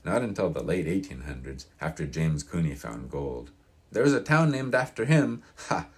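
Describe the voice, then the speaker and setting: A man's voice: sits low, very animated, normal speed. A man voicing an opinionated elderly small-town local in an audiobook, with a grating, folksy, emphatic delivery. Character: crusty old mountain-town man, proud, opinionated, wistful. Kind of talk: audiobook character reading.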